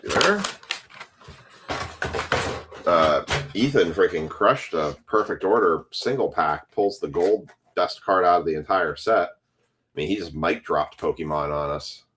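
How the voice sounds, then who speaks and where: A man's voice: sits low, lively intonation, measured pace, a bit bright, slight background noise. A man casually chatting on a live stream while opening trading card packs, rambling to his viewers.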